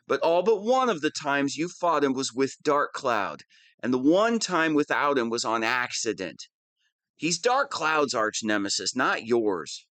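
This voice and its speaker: A man's voice: fairly high pitch, fairly bright tone, no room sound, exaggerated voice. A man playing a whiny, pompous wannabe supervillain who is easily flustered, in a scripted comedic audio roleplay.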